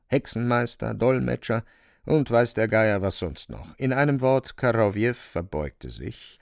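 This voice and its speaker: A man narrating a literary radio drama in a creaky voice, deliberately, phrase by phrase. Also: mid-range voice, no echo, studio quality. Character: measured literary narrator of a dark fantastic tale.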